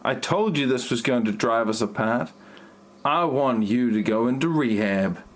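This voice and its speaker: A man's voice: medium pitch, normal speed, close to an everyday voice. A man playing an aggrieved novelist and secret hacker with a stilted, robotic delivery in an amateur parody audio drama. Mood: earnest, stilted. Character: stiff, aggrieved male novelist and self-styled hacker.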